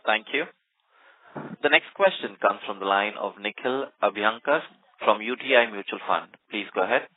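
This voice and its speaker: A man's voice: on the low side, moderate pace, no room sound. A man working as the conference call operator, formally introducing each caller. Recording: dry tinny phone line.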